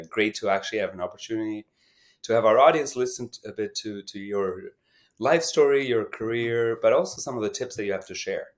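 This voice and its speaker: A man hosting a podcast interview, friendly and a bit hesitant, with many fillers. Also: fairly low pitch. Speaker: podcast host.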